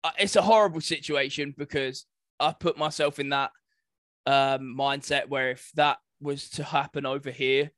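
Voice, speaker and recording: A man's voice: mid-range voice, steady tone, normal speed, fairly bright tone, dry recording, clean studio sound. A young British man in casual podcast chat as co-host, informal and acting out imagined exchanges. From United Kingdom.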